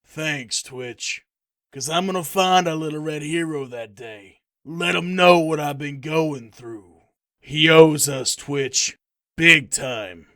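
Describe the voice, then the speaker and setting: A man playing a resentful figure with a grudge in a comic dub, quietly menacing. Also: a little high, takes it slowly, no room sound, clean studio sound. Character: vengeful man nursing a grudge. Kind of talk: fan comic dub acting.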